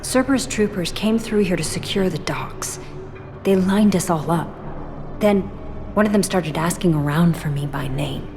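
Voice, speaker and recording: A woman's voice: fairly low pitch, moderate pace, vocal fry, music in the background. A woman playing a shaken, grateful attack survivor in a video game, speaking earnestly and confidingly.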